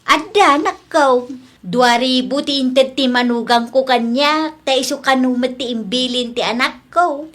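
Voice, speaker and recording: A woman's voice: high-pitched, a little slow, a bit bright. A woman with an Ilocano accent playing a kindly, easygoing elderly mother-in-law in an audio comedy drama. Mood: chatty, content.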